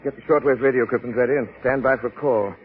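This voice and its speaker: An actor playing a composed, courteous gentleman detective in a 1930s radio drama, with polite, probing delivery. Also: mid-range voice, steady tone, close to an everyday voice. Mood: assured. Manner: polite, measured questioning. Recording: tinny old radio recording, music behind.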